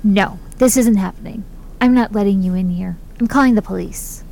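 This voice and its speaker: A woman's voice: medium pitch, moderate pace, creaky, dark tone, a little hiss or hum, lightly acted. A woman playing a hospital night nurse, curt, hostile, and bitter. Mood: alarmed. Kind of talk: audio drama acting.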